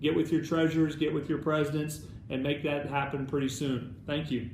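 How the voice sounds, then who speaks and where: A man's voice: on the high side, flat delivery, brisk, darkish tone. An American man giving an encouraging, instructive, semi-scripted update to volunteers as a staff presenter. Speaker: alumni club staff presenter. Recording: roomy echo, background noise.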